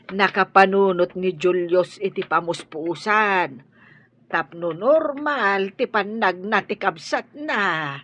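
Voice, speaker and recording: A woman's voice: medium pitch, slow pace, a bit bright, dull audio. A woman playing a tender, prayerful old grandmother in an audio drama, speaking with sighing emotion.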